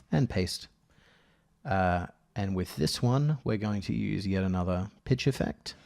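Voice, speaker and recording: A man narrating a casual software tutorial as its presenter, thinking aloud in a halting way. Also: fairly low pitch, creaky. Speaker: audio editing tutorial presenter.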